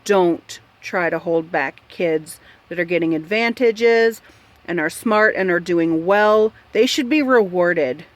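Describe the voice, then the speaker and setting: A woman's voice: fairly low pitch, takes it slowly. A woman host giving opinion commentary in a blunt, exasperated and emphatic manner. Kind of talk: opinion commentary on a news article.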